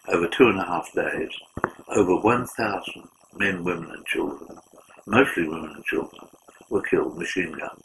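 Older man, very low voice, bright forceful tone, earnest interview answers, faint ambient noise. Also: poor audio. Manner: earnest, measured, with many restarts and fillers. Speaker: former UN war crimes investigator.

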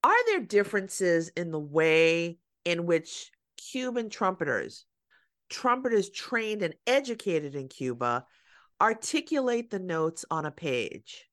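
A woman host asks her questions slowly and deliberately, her lowish voice moving expressively up and down. The sound is dry and close, with no room around it. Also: sing-song, dry recording. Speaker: podcast host. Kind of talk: interviewing a guest.

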